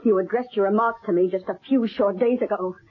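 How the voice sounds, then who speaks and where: A woman's voice: medium pitch, fairly quick, breathy edge, natural voice. A woman playing a genteel but deranged, cold-blooded murderess in a melodramatic old-time radio mystery. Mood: cold menace. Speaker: actress. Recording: muffled, narrow-band old radio recording.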